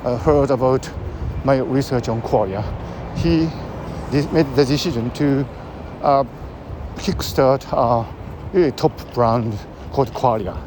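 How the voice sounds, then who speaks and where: A man with a Japanese accent, hosting his own show and talking through ideas in a halting way, with many pauses and fillers. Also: mid-range voice. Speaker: host. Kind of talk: casual spoken commentary. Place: outdoors in a city. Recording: outdoor street noise, traffic.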